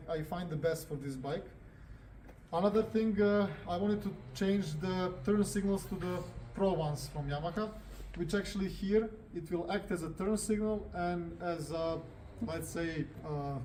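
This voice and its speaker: Serbian-accented man, mellow and slightly high-pitched. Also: unhurried, slight background noise. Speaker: motorcycle reviewer, host. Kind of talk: casual unscripted vlog talk.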